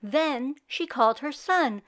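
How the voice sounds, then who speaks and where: A woman storyteller reads aloud for children in a high, very lively voice. She takes her time, leaning on key words with dramatic emphasis. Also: slow. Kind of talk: expressive children's storybook narration.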